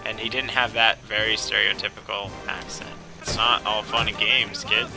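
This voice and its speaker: A man's voice: on the low side, flat delivery, normal speed, creaky, over music, poor audio. A man casually commentating on a game, rambling, sarcastic and profane.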